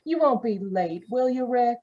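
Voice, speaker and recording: Woman acting a mellow, teasing radio sweetheart. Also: fairly high pitch, lively intonation, moderate pace. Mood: adoring. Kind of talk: old-time radio drama acting. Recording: dull, lo-fi video-call audio.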